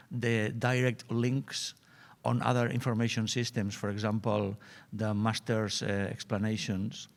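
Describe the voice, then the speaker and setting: A man with a mid-pitched voice speaks as a conference panelist. He halts often and searches for words. The talk is in a conference hall. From Spain. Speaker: university panelist.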